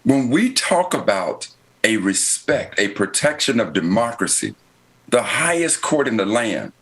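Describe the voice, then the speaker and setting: An American man moderating an unscripted political panel, speaking in an emphatic, deliberate, oratorical way and repeating phrases as he builds a point. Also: medium pitch, big pitch swings, fairly bright tone.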